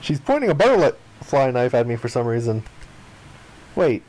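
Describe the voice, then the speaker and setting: A man's voice: medium pitch, very animated, normal speed, a little mellow, low-quality recording. A man reading visual novel narration and dialogue aloud, acting out the lines as he goes. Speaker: gaming video player reading aloud.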